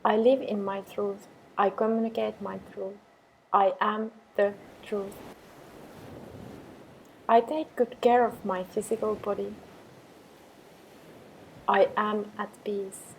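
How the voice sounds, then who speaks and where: A woman meditation teacher guiding affirmations in a calm, measured way with pauses between phrases. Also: medium pitch, vocal fry, a little hiss or hum, rough recording. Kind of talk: guided meditation with repeated affirmations.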